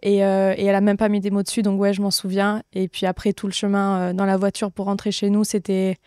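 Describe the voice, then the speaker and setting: Woman with a dark, steady voice. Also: medium pitch, fast talker, clear, resonant tone, no echo, clean studio sound. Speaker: podcast guest. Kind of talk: personal, casual conversation.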